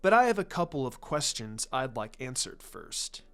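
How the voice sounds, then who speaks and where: A man voicing a serious adult ninja mentor in a fan fiction reading, calm, stern and deliberate as he explains. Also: mid-range voice, gravelly, clear, resonant tone, pitched below the performer's own voice.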